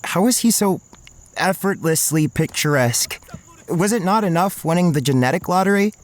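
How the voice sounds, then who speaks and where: A man's voice: high-pitched, animated, moderate pace. An actor playing a self-conscious, dreamy young male student, narrating an audio drama in the first person with an earnest, introspective manner. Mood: dreamy, envious.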